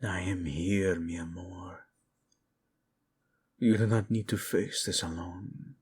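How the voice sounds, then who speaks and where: A man playing a devoted, protective prince in a romantic audio roleplay, speaking intimately with formal phrasing, Spanish endearments and a husky voice. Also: deep voice, fairly even intonation, slow pace, darkish tone, exaggerated voice. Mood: tender, soothing. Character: devoted, protective young prince, tender lover.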